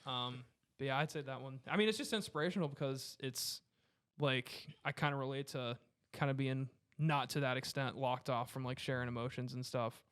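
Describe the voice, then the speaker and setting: A man's voice: medium pitch, moderate pace, a bit bright, smooth. A man chatting casually in a group, with an enthusiastic, slangy way of talking. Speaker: discussion panelist.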